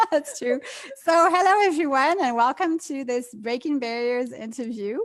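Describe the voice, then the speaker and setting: Higher-voiced woman co-hosting an interview. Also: measured pace. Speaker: interview co-host.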